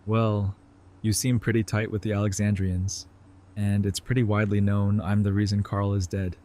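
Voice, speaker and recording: A guilt-ridden young ER doctor answers quietly and guardedly, played by a man. His voice sits a little low. This is a scene from a fan audio drama. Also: flat delivery, normal speed, slight background noise, close to an everyday voice. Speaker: former ER resident.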